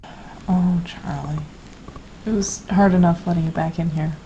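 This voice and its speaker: A woman playing a gentle, wistful ex-lover in an audio drama, soft-spoken and hesitant, on a dull lo-fi microphone with faint noise. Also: fairly low pitch, steady tone, a little slow, close to an everyday voice. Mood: wistful, concerned. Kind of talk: scripted audio drama dialogue.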